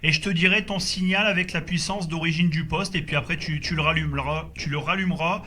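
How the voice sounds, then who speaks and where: A man's voice: fairly high pitch, fairly even intonation, fairly quick, sharp tone. A man chatting casually as a radio operator, repeating his phrases in a hesitant, agreeable way. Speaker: amateur CB radio operator recording the group contact. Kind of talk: casual radio contact chat. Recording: faint background noise.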